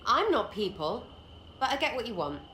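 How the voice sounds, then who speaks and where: A woman's voice: fairly high pitch, very animated, moderate pace, sharp tone. A woman playing a cheeky young English commoner rogue in a video game, slangy, blunt, mocking and rambling. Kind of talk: video game dialogue acting. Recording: roomy echo, faint background noise.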